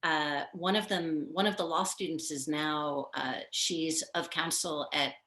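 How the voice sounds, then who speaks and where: A middle-aged woman producer talks in a chatty, quick way, with laughing asides. Her voice sits a little low. It sounds roomy, picked up by a far camera in her living room. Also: steady tone, pushed and bright. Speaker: film producer, guest. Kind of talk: casual conversation. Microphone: video call, far camera.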